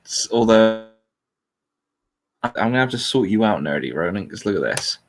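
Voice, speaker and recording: A man's voice: fairly low pitch, big pitch swings, moderate pace. Man chatting casually, blunt and jokey. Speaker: livestream host. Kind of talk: casual livestream chat.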